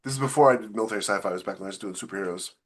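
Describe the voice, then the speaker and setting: Low-voiced man chatting casually. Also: rapid-fire, breathy edge. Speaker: podcast co-host, science fiction author. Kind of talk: casual panel chat.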